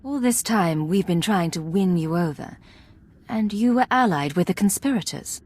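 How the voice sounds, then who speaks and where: An actress playing a composed, resolute secret agent in a video game, speaking in a measured, direct way. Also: female voice, on the low side, lively intonation, a little mellow. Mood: composed, assertive. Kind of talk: dramatic video game acting.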